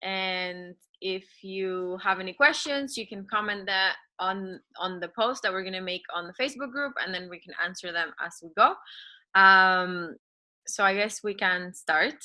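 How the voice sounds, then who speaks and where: A woman's voice: mid-range voice, moderate pace, pushed and bright. A woman in her twenties presenting a webinar in an informal, giggly manner.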